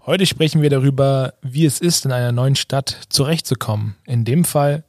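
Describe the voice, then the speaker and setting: A man from Leipzig co-hosting a career podcast, guiding the talk with clear questions. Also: medium pitch, moderate pace, loud music behind the voice. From Leipzig, Germany. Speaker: podcast co-host.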